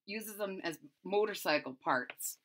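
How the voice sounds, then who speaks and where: A woman's voice: mid-range voice, expressive, unhurried, a bit bright. A middle-aged woman reading expressively to children, theatrical and emphatic, drawing out key words. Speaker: children's story reader. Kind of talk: expressive read-aloud storytelling for children. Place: small room at home.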